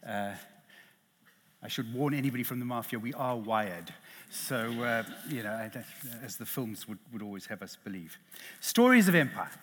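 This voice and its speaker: Middle-aged South African male panel chair, husky, self-deprecating, echoing hall. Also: mid-range voice, lively intonation, normal speed, a bit bright. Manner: self-deprecating, hesitant, lots of restarts. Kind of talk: hosting a literary panel. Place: lecture hall. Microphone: headset. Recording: reverberant hall.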